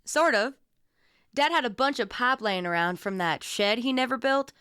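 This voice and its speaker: An actress playing a steady, guarded middle-aged mother and protective sister in a small town, in radio drama. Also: on the high side, moderate pace, clear, resonant tone, no echo, studio quality. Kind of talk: naturalistic radio drama acting.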